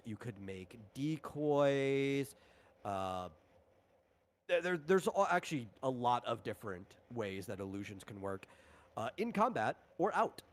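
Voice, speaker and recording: A man casually explaining the rules of a tabletop game as its host, in a halting way, rephrasing as he goes. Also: mid-range voice.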